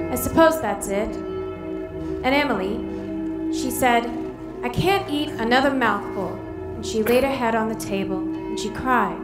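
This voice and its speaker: An actress plays a middle-aged mother turning over her sorrow in a rueful monologue. Her voice sits fairly high. Echoey old film soundtrack, with orchestral score underneath. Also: lightly acted. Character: tearful middle-aged mother before her daughter's wedding.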